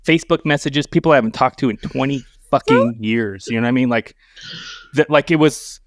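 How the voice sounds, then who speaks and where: A man's voice: mid-range voice, fairly quick, dry recording. Casual, profane middle-aged man, dry recording. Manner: profane, repeats words, self-deprecating. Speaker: podcast guest. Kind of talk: casual banter and storytelling.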